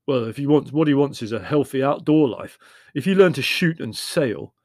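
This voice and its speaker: A man voicing a gruff, outdoorsy young brother in an audiobook, insistent and argumentative. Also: mid-range voice, animated, normal speed, no room sound, clean studio sound, pitched above the performer's own voice, natural voice. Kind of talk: audiobook character voice.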